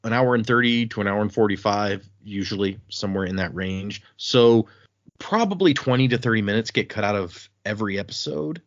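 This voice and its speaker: A man's voice: fairly low pitch, fairly quick, lo-fi sound. A man hosting a podcast, chatting casually and reading listener emails with loose fillers and restarts. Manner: relaxed, rambling with fillers and restarts.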